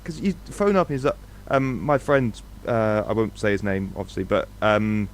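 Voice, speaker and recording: Low-voiced man, animated podcast chatter. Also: normal speed. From Scotland. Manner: animated, swears, repeats himself. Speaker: podcast co-host. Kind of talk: casual podcast chat. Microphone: podcast microphone.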